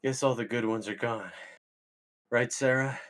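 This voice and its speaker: A man playing an excitable young stoner at a party in a comedy film table read. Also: mid-range voice, unhurried, natural voice. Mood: dejected. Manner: slangy.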